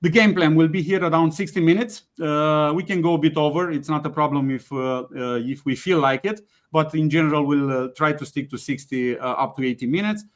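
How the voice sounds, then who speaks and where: A man hosting a webinar, speaking haltingly with frequent fillers and restarts. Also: fairly high pitch. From Romania. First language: Romanian. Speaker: webinar host, company CEO. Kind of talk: hesitant webinar presentation with many fillers.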